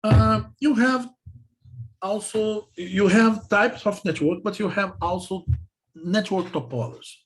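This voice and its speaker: A man with a Brazilian accent, a native Portuguese speaker, gives a technical lecture in halting non-native English, repeating himself and often checking in with short questions. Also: sits high, poor audio.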